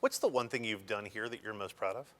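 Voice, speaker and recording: A man hosting a local TV arts show on a theater stage, playful and quick to interrupt with a laugh. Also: fairly low pitch, pushed and bright. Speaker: TV show host.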